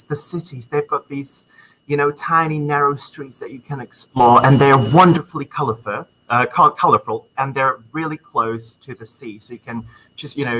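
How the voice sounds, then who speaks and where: A man's voice: mid-range voice, bright, forceful tone, a little hiss or hum, poor audio. A young man from the Czech Republic with a Czech accent, a student guest talking enthusiastically in a casual interview, with plenty of ums. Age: young adult. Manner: friendly, enthusiastic, many ums. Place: university computer lab.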